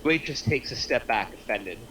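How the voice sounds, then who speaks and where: A man's voice: mid-range voice, expressive, normal speed, bright, forceful tone, a little hiss or hum, low-quality recording. A man reading scripted stage directions aloud in narration at a screenplay table reading.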